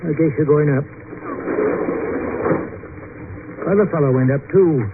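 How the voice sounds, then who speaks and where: An actor playing a slow, grumbling old country elevator operator in a folksy, dry manner in an old radio drama. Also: male voice, fairly high pitch, natural voice. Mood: laconic, dry. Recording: tinny, noisy old radio recording.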